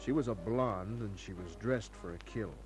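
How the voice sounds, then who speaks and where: An actor playing a cool, wary private detective in an old-time radio crime drama, speaking in a terse, wry, clipped way. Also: male voice, fairly low pitch, moderate pace, a little mellow, natural voice, rough recording. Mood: wry, narrating. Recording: old radio recording with faint music.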